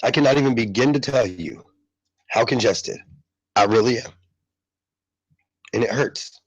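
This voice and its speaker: A man's voice: medium pitch, a little slow, dry recording, lo-fi sound. A man hosting a casual live radio show, congested, rambling and repeating himself with many fillers. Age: adult parent.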